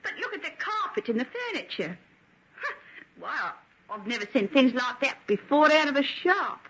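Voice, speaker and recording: A brash working-class runaway woman talks high and very animated, full of colloquial exclamations. She is restless and cheeky, a golden age radio character on a dull recording with light noise. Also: moderate pace. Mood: awed, curious.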